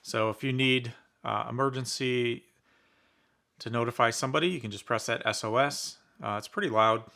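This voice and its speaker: A man's voice: medium pitch, normal speed, vocal fry, pushed and bright. A man giving casual, conversational commentary as he reviews a product in an unboxing. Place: indoors in a basement.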